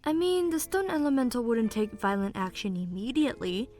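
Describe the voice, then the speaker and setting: A woman voicing a sensible, wry young illusionist student in an audio drama, measured and gently chiding. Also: on the high side, faint music behind, close to an everyday voice. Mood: mildly dry. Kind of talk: audio drama character banter.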